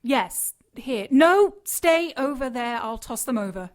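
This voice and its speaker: A woman playing a prim, flustered caretaker in an audio drama, brisk and defensive in manner. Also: sits high, lively intonation.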